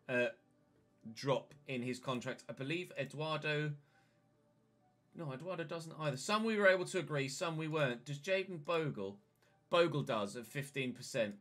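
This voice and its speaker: A man's voice: on the high side, pushed and bright. A young man, casually talking through a football management game while browsing menus, recorded on a headset in a small home room. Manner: chatty, thinks aloud while clicking through menus. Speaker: gaming YouTuber. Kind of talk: casual let's-play commentary.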